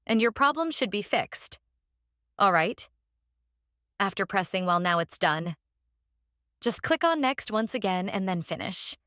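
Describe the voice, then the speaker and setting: Woman giving software tutorial, dry close sound. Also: medium pitch, no room sound. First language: non-native English speaker. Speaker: tutorial host. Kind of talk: step-by-step software tutorial, semi-improvised.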